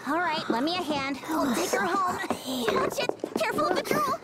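A woman voicing a small, exasperated young female friend in an animated game, squeally and fussy. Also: extremely high pitch, moderate pace, heavy background noise.

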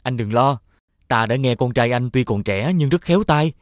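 A man playing a noble, honourable young prince in an animated fairy tale, speaking earnestly and formally. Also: medium pitch, normal speed, no room sound, studio quality, natural voice.

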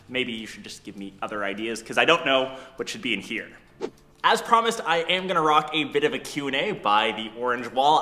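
A man's voice: mid-range voice, pushed and bright. A young man hosting a casual vlog, giving a chatty, upbeat tour and answering viewer questions. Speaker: YouTuber. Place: empty condo studio, large bare room. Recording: echoey empty room.

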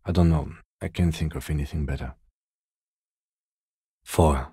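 An actor playing a composed, weary police detective in a video game, with dry, deadpan, measured delivery. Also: male voice, very deep voice, breathy edge, no echo, exaggerated voice. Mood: grave, composed.

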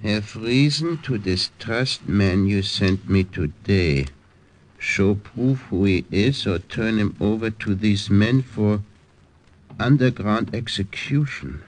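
A man's voice: lowish voice, slow. A man acting as an older Dutch uncle in an old-time radio drama, stubborn, protective and emphatic. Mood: puzzled. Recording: old radio recording with hiss.

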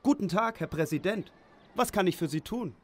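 Casting: male actor as goody-two-shoes comic radio-play hero, high animated voice, exclamatory, some background noise. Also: normal speed. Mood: eager, dutiful. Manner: over-earnest, exclamatory. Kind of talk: comic audio drama acting.

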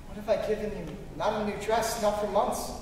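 A man's voice: sits high, little pitch movement, a little fast, natural voice. A man playing an earnest, frustrated young family man in a live radio play, emotional and theatrical. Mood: troubled. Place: on stage in a school auditorium. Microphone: stage mic, recorded from far camera. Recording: distant echoey auditorium, clear room noise.

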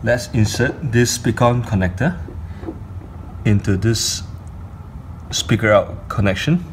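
Middle aged guy doing a gear tutorial, lowish voice, really slow n deliberate, bit of room noise. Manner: deliberate, with pauses between short phrases. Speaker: audio gear demonstrator. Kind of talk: step-by-step instructional tutorial. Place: indoor carpeted room. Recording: faint room noise, phone camera audio.

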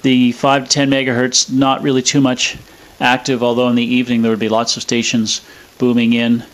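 A man explaining a demonstration in a measured, matter-of-fact way, with a radio playing. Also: medium pitch, completely flat delivery, rough recording. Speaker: presenter demonstrating a vintage radio. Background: radio playing music and stations.

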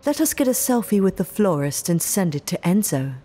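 A woman's voice: medium pitch, sing-song, fairly quick, dark tone, breathy edge, faint music behind. A woman playing a playfully menacing, eccentric mob underling in an audio roleplay, speaking coyly in theatrical, old-fashioned phrases. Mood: coy, teasing. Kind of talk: ASMR audio roleplay acting.

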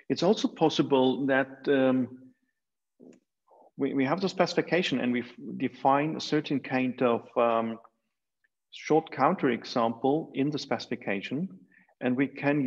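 A middle-aged man giving an academic keynote over a video call, measured and full of fillers and restarts. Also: medium pitch, creaky. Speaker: keynote speaker. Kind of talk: academic conference talk. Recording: dull lo-fi video call audio.

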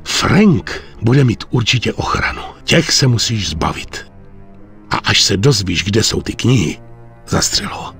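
A man playing a stern aging mafia boss in a video game, deliberate and commanding. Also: lowish voice, very animated, vocal fry, fairly bright tone, faint music behind, exaggerated voice. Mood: grave, commanding. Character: stern aging male mafia boss giving grave orders. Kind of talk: dubbed video game cutscene acting.

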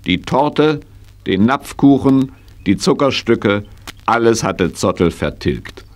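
A man's voice: mid-range voice, expressive, slow pace. A man narrating a children's audio drama as a dramatic storyteller. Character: male storyteller of a children's horse adventure.

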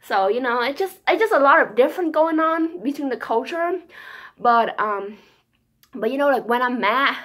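Higher-voiced young Vietnamese woman vlogging. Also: lively intonation, normal speed, fairly bright tone. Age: young adult. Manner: chatty, rambling, filler-heavy. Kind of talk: casual shopping haul chat. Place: small quiet room at home.

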